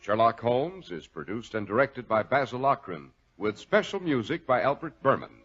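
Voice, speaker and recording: A man's voice: mid-range voice, big pitch swings, moderate pace. Male announcer, polished 1940s radio delivery, dull vintage sound over prominent organ. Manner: formal, polished. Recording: muffled 1940s radio broadcast. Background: organ music.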